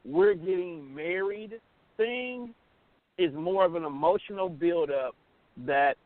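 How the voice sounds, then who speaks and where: High voiced guy cohosting radio chat, emphatic n lively, dry phone-line sound. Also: slow, no echo. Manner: emphatic, colloquial. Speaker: radio talk show co-host. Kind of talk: casual opinionated chat. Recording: thin phone-like band-limited sound.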